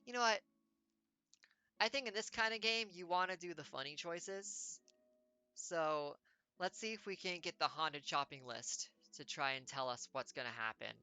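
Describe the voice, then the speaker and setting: Streamer, female, playful wry reader; low, crisp, bright tone. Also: lively intonation, faint music behind. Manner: playful, wry, quick asides. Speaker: game streamer. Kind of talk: reading a text adventure aloud with jokey asides.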